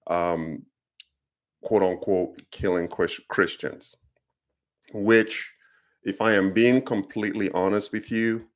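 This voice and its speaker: Nigerian man, forties, low-pitched, earnest off-the-cuff explainer. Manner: earnest, unscripted, with frequent ums and restarts. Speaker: commentator. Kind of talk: casual explanatory talk to camera.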